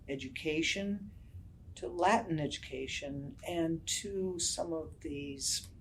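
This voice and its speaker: A woman hosting a podcast and asking her guest questions in a measured, deliberate way. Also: low voice, a little hiss or hum, rough recording. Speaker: podcast host. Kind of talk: interview questions.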